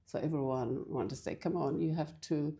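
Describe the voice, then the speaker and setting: An older woman from Fiji, talking in a halting, hesitant way as a guest in a casual church interview. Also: sits low, warm tone. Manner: hesitant, halting with many restarts.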